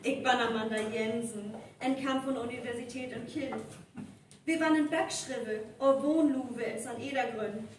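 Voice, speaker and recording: A woman with a North Frisian accent playing a deliberate, explanatory young folklore researcher in a live radio play. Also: fairly high pitch, fairly even intonation, close to an everyday voice. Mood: explaining. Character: young female university researcher of local folk legends. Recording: echoey live stage recording, distant, faint noise.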